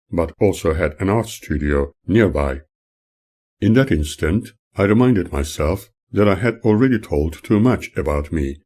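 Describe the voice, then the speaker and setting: An older man, the author, reading his own memoir aloud as audiobook narration in a measured, formal manner. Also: low voice, warm tone, slightly rough.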